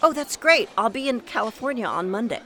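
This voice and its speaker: A woman's voice: fairly high pitch, animated, brisk, sharp tone. A woman playing an earnest, easily wounded young graduate in an audio drama. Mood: hopeful, dismayed. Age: young adult. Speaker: actor.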